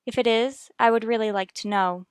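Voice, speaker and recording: A woman's voice: fairly high pitch, brisk, clear, resonant tone, dry recording, lightly acted. An actress playing an anxious but determined young married mother in a vintage radio soap, speaking formally and politely in a dry recording. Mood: pleading, insistent.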